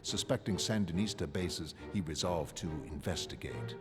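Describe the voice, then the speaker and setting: Man acting calculating mastermind, low pitch, measured delivery, cold and articulate, grandiose animated-comic monologue, clear background music. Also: natural voice. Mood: cold, composed. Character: calm, calculating male mastermind confessing his grand plan. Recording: music under the voice. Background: dramatic background music.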